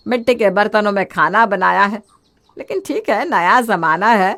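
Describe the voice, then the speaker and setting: A woman voicing a sharp-tongued, thrifty older mother-in-law in a storybook drama, speaking in a lecturing, sarcastic way. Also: a little high, lightly acted. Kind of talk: storybook voice acting.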